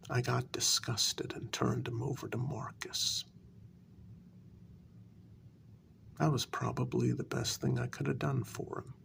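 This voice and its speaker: A man playing a wounded, bitter vampire in a soft-spoken ASMR role-play, speaking in hushed, deliberate half-whispers with a gravelly voice. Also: medium pitch, a little hiss or hum. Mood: bitter recollection. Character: wounded, bitter male vampire confronting his queen.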